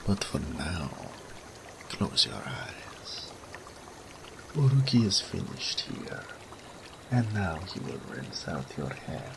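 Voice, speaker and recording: Man voicing a deep, gravelly, seductive naga. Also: big pitch swings, noisy background, exaggerated voice. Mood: tender, admiring. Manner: slow, third-person speech, soft murmurs dropping to whispers. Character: seductive male naga, possessive, doting, teasing serpent creature. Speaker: audio roleplay voice actor. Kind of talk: intimate romantic audio roleplay.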